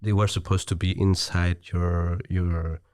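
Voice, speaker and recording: Deep-voiced Chilean man with Spanish accent. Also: little pitch movement, a little slow, darkish tone, no room sound, clean studio sound. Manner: hesitant, restarts, laughs easily. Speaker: guest. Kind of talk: casual conversation.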